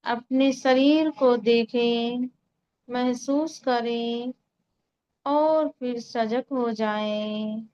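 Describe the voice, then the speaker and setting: A woman leading a guided meditation in a calm, soothing way, giving unhurried instructions with long pauses. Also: a little high, smooth, highs cut off. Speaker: meditation guide and Reiki healer.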